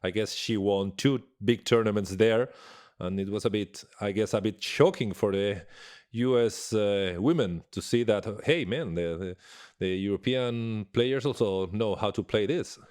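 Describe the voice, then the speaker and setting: A man's voice: lowish voice, unhurried, darkish tone. A man interviewing a guest, asking long questions while searching for words. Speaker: interviewer, host.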